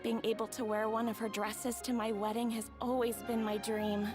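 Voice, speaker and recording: A woman's voice: on the high side, level tone, moderate pace, music in the background, close to an everyday voice. A young woman playing a sweet, humble, grateful bride-to-be in a video game. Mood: wistful, earnest.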